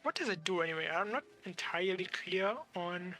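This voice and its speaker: A woman's voice: lowish voice, a little fast, sharp tone. A young woman giving casual game commentary as a streamer, musing aloud and searching for words as she goes. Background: game music.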